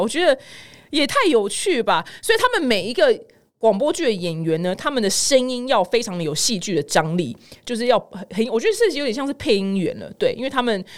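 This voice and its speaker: A woman podcast host with a Taiwanese Mandarin accent, commenting on the news in a chatty, informal, slangy way. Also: medium pitch.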